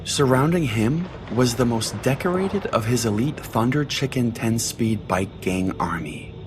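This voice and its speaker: A man's voice: mid-range voice, lively intonation, measured pace, music in the background. A man narrating a scripted first-person comic story as a podcast host, in a dramatic, wry manner.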